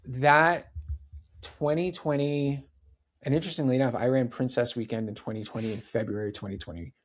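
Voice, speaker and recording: A man's voice: medium pitch, steady tone, fairly quick. A man in his forties, a podcast guest, chatting casually and dropping lots of "like" and "right?" asides. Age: 40s. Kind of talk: casual interview chat.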